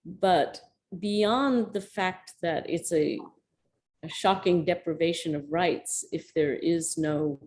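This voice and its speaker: A woman's voice: fairly low pitch, takes it slowly, a little mellow. Woman answering a question on a video call. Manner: thoughtful, searching, with restarts. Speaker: guest lecturer. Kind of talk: academic lecture Q&A answer.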